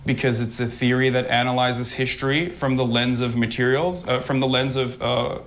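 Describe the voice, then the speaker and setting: Halting man arguing his side. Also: medium pitch, flat delivery, a bit bright. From United States. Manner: halting, searching for words, then argumentative. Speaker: debate guest. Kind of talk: heated debate.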